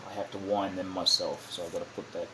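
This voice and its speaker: A man giving a casual, unscripted hands-on demonstration, halting and thinking aloud as he goes, often correcting himself. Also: low voice, flat delivery. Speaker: hobbyist demonstrating a kit build. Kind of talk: casual unscripted how-to narration. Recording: clearly noisy amateur home recording.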